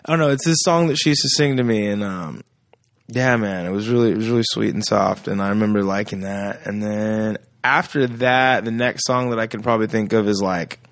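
A gravelly-voiced man in his thirties chats casually as a musician guest on a podcast. He tells stories with a lot of energy and acts out the people he quotes. His voice is recorded dry and close. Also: on the low side, a little fast, dry recording. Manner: animated storyteller who acts out quoted voices. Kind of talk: casual chat.

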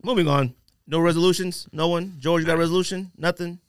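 A man's voice: a little high, steady tone, fast talker, smooth, no echo, clean studio sound. A man with an American accent hosting a podcast, bantering casually with friends in slangy, joking talk. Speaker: podcast host.

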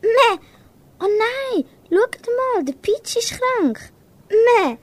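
A woman's voice: very high voice, expressive, very slow, full character voice. A young teenage girl voicing a sympathetic little farm animal that bleats between phrases in an amateur radio play. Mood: worried.

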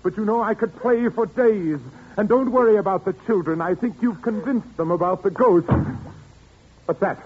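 Suave, sinister organist, old radio. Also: male voice, high-pitched, normal speed, husky. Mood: gloating madness. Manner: theatrical, genteel, ominous phrasing. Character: sinister mad widower organist, suavely menacing, obsessed. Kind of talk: 1940s radio suspense acting. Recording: muffled, noisy 1940s radio recording.

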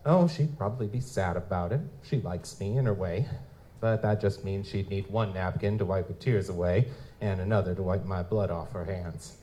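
A man's voice: sits low, moderate pace, mellow, a little hiss or hum, natural voice. An actor playing a wry, down-on-his-luck lutin private investigator in a live audio drama, with wisecracking, folksy noir storytelling. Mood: wry, world-weary. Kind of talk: live audio drama, first-person noir narration and dialogue. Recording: music behind the voice.

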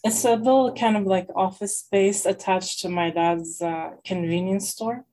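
A woman's voice: medium pitch, a little slow, warm tone. A young woman raised in Lebanon, talking as a guest in a casual interview, hesitant and full of fillers. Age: young adult.

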